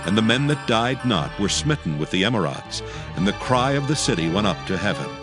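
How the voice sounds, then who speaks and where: A man's voice: lowish voice, very animated, brisk. A man narrating a dramatized Bible reading in a solemn, formal storytelling manner. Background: background music.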